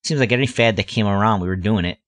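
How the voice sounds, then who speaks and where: A man reading scripted narration in a measured storytelling manner. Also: lowish voice, a bit bright, no echo, clean studio sound. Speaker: narrator and writer. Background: music bed.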